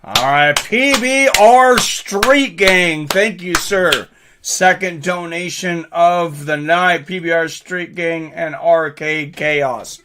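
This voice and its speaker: A man hosting a live fight watch-along stream, commenting casually and talking directly to viewers in chat, often clearing his throat. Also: high-pitched, bright, forceful tone.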